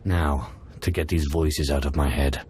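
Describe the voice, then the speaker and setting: An ambitious dark sorcerer speaks in a very deep voice. He is curt, formal and controlled, as in a dramatic game cutscene. There is a faint noise behind him. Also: fairly even intonation, unhurried. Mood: curt, commanding. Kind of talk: dramatic video game acting.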